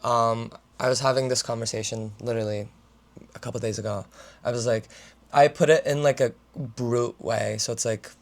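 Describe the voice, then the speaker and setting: Young male student vlogger, mid-pitch, gravelly fry, raspy today; loose filler-laden chat to camera. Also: steady tone, moderate pace. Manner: filler-heavy, talks with his hands. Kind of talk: casual rambling vlog chat.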